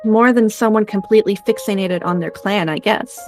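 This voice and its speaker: A woman's voice: medium pitch, normal speed, soft background music, natural voice. A woman playing a young rejected witch, wistful yet teasing, confiding in a conversational way in a game script performance. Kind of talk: fan-performed game script, dramatic dialogue.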